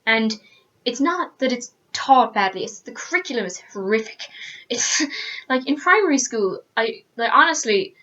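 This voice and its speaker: A woman's voice: a little high, expressive, normal speed, pushed and bright, highs cut off. A teenage woman with an Irish accent, ranting earnestly to camera in a casual vlog, full of fillers and restarts. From Ireland. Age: teens. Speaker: student vlogger.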